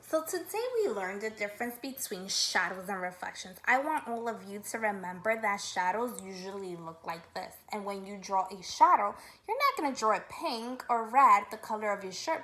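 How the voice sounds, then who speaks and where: A woman's voice: medium pitch, expressive, moderate pace, few pauses, pushed and bright. A woman kindergarten teacher leading a read-aloud lesson, upbeat and instructive, asking guiding questions.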